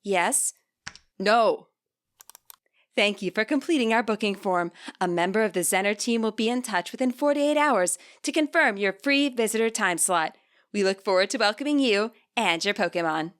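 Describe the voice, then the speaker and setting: A woman reading scripted fiction aloud as a podfic narrator, measured and clearly enunciated. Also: mid-range voice, a bit bright. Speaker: podfic reader.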